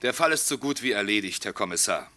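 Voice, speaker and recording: An actor playing a courteous, shrewd and self-assured police inspector in a German radio play. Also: male voice, lowish voice, animated, fast, sharp tone. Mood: confident, explaining.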